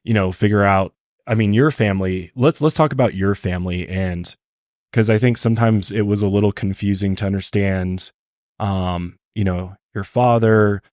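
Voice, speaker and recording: A hoarse male podcast host, chatty and rambling, talking in a studio. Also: fairly low pitch, moderate pace, darkish tone, no room sound. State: hoarse from illness. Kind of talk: podcast interview.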